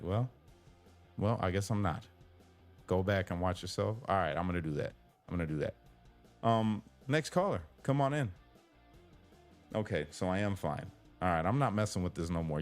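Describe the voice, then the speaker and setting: A man's voice: low voice, darkish tone. A man hosting a live call-in stream, chatting casually, joking and teasing, reading chat comments aloud. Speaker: live show host. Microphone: headphones with computer mic.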